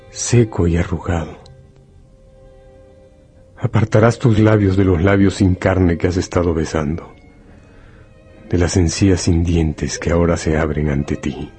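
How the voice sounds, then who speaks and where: Radio drama narrator: low-voiced, brooding, ominous literary storyteller, deliberate, faint music underneath. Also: male voice, warm tone. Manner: solemn, deliberate.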